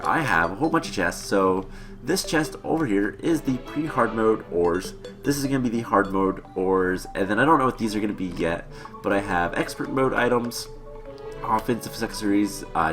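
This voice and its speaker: A man with a lowish voice talks through a let's-play in a loose, rambling way. His nose sounds a little stuffed up from a cold. Game music plays clearly under his commentary. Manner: relaxed and rambling, with many "uh" fillers. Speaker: gaming channel host.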